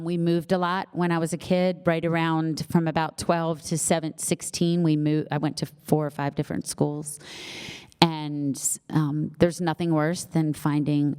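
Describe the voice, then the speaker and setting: A woman's voice: low voice, smooth. Chatty middle-aged woman with a slight lisp. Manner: chatty, warm-humored, self-deprecating, many fillers. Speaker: author at book launch. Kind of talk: casual Q&A chat with audience. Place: event hall with live audience.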